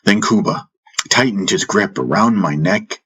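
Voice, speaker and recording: A man's voice: lowish voice, unhurried, a bit bright, slightly rough. A man narrating a fantasy audiobook in the first person, with intense, solemn, dramatic storytelling. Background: music bed.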